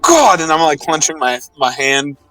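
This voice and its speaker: A man's voice: a little high, very animated, normal speed, sharp tone, no room sound, far from the mic, rough recording. A man chatting casually as a player in a tabletop roleplaying game. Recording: off-mic, music underneath. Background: background music.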